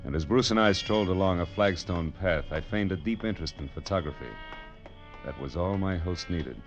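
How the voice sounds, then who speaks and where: A man's voice: deep voice, brisk, music in the background, natural voice. An actor playing a dry, wisecracking hard-boiled male detective in a vintage radio drama. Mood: wry narrating. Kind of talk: 1940s radio detective narration and acting. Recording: dull old radio recording.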